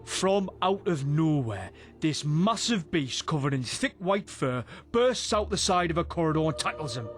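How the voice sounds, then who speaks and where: An actor playing a boisterous, rough British adventurer in a fantasy game, telling tales in a salty, wry way. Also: male voice, on the high side, sing-song, moderate pace, hardly any pauses, soft background music. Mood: wry storytelling. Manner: salty, foul-mouthed, wry storyteller. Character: boisterous rough male adventurer spinning a monster tale. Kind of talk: in-character storytelling in a fantasy game.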